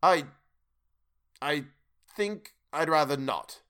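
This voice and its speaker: A man's voice: mid-range voice, lively intonation, fairly quick, vocal fry, fairly bright tone. A man voicing a frightened male miser in a storybook, humbled, deferential and faltering as he pleads. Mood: faltering, reluctant. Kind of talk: dramatic audiobook character voice.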